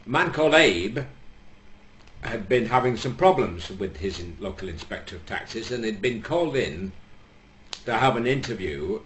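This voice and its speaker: A man's voice: on the low side, slight background noise, rough recording. A jovial, self-mocking man from England hosting his show and telling jokes. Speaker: joke-telling host. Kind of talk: joke telling.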